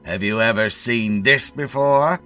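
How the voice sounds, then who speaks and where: A man voicing an insinuating, theatrical district attorney in a story reading, pointed and deliberate. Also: medium pitch, pushed and bright, faint music behind, pitched above the performer's own voice.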